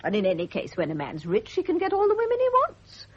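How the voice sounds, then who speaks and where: Husky, gossipy middle-aged nurse, swooping pitch. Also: female voice, huge pitch swings, fast talker, exaggerated voice. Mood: cynical, insinuating. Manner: insinuating, knowing, condescending. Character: gossipy, self-satisfied veteran private nurse, a woman. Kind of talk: radio drama acting. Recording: dull, muffled vintage radio recording.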